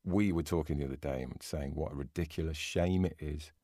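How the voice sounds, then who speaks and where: Deep gravelly guy on a podcast, crisp n close dry sound, chatting or reading out a story. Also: a little fast, dark tone, no room sound. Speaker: podcast co-host. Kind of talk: casual chat, then reading a short story aloud.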